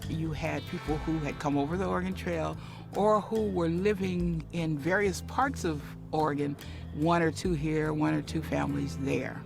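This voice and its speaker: A man's voice: a little high, vocal fry. A man speaking as a researcher in a documentary interview. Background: guitar music.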